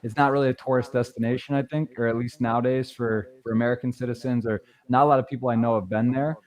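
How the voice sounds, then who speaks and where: A man hosts a podcast in a relaxed, chatty way, stringing questions together and restarting his sentences as he goes. His voice sits at an ordinary middle pitch. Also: quick pace. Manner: friendly, rambling questions with restarts. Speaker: podcast host. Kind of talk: casual interview.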